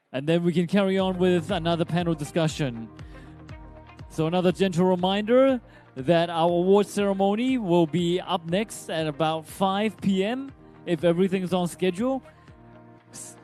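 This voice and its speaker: Male emcee, formal announcer, high voice, faint music under him. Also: a little mellow. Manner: formal, drawn-out name announcing. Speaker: event emcee. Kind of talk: event announcements. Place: conference hall.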